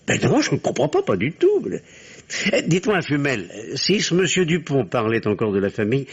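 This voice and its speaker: A man's voice: medium pitch, slightly rough. An actor playing an anxious, evasive middle-aged family doctor and father in an old-style radio drama, hesitant and theatrical. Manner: hesitant, theatrical, repeats phrases reassuringly. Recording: muffled old radio recording.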